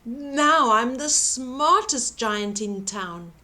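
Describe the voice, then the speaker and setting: A woman's voice: fairly high pitch, animated, drawn-out words, pushed and bright, exaggerated voice. A woman voicing a kindly, proud, cheerful giant man from a children's storybook. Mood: cheery, kind.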